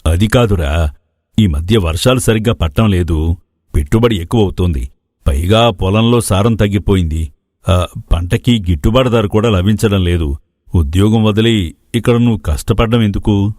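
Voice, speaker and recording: A man's voice: on the low side, normal speed, darkish tone, no echo, studio quality, lightly acted. A man playing an anxious, evasive older village farmer father, hesitant and stammering. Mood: discouraging.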